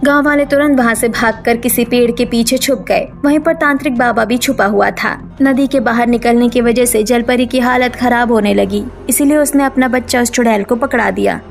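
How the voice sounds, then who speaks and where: A woman narrates a children's style cartoon tale in a fairly high voice with noticeable creak. Her delivery is scripted storytelling, with faint music behind. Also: steady tone, moderate pace, hardly any pauses.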